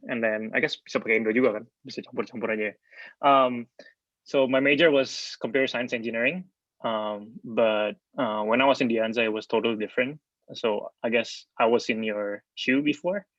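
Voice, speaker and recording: A man's voice: mid-range voice, normal speed, fairly bright tone, no echo, muffled recording. A man in his thirties who grew up in Indonesia, giving a casual, rambling career talk full of fillers over a video call. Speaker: software engineer guest speaker.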